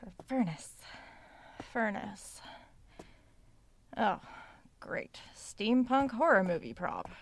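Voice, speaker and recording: An actress playing an excitable, curious woman exploring a creepy basement in a horror audio drama, expressive, as if talking to herself. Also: medium pitch. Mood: grumbling, searching. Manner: expressive, muttering to herself and exclaiming.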